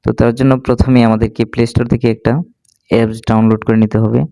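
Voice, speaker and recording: Male tutorial host, very even intonation, soft dark tone, instructional step-by-step delivery. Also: fairly low pitch, very fast, no echo. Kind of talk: step-by-step screen tutorial narration.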